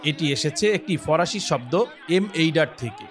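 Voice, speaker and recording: A man's voice: fairly high pitch, lively intonation, measured pace. A man reads a scripted news explainer as a narrator. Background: music bed.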